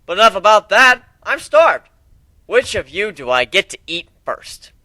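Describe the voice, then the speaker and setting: A man's voice: high-pitched, sing-song, measured pace, sharp tone, dry recording, pitched above the performer's own voice, full character voice. A man voicing a tough, joking, protective griffin warrior with a brash, casual way of talking in a story reading.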